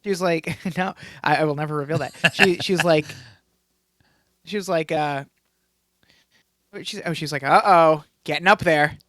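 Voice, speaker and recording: Animated young man telling a story. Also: on the high side, moderate pace, long pauses, dry recording, studio quality. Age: 20s. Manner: animated storytelling, acting out others' lines. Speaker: podcast co-host. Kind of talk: casual chat. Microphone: podcast microphone.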